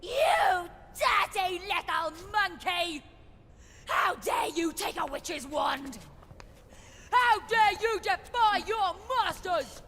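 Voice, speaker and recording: Slow, cruel, deranged dark witch. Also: extremely high pitch, animated, full character voice. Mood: outraged. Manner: taunting, drawn-out, imperious.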